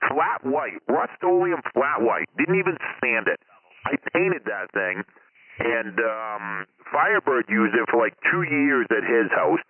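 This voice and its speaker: A man talks in a loose, opinionated, profane way, like a radio hobbyist among regulars. His voice sits at an ordinary middle pitch. The sound is squeezed into a narrow CB radio band. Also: dry recording. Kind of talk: casual radio chat. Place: CB sideband radio live stream.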